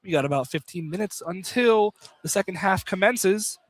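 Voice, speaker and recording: A young man with a notably high voice calls a college basketball game live on radio. His play-by-play is informal and conversational, with banter aimed at a co-commentator. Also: lively intonation, normal speed, no room sound. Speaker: play-by-play announcer. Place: college basketball field house, live broadcast.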